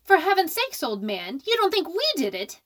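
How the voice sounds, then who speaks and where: A woman playing a jittery, snappish, stubborn young working woman in a radio horror drama, emotional and sharp-tongued. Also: very high-pitched, very animated, normal speed, heavily acted. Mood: defensive, rattled.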